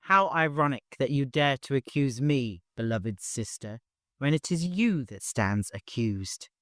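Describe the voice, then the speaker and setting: Cruel volatile brother, acted by a male narrator: threatening, commanding audiobook villain, medium-pitched, dry recording. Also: animated, unhurried, a bit bright, no echo. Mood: cold menace. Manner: swings from smooth, stern menace to screaming rage. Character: cruel, volatile, domineering man, menacing brother.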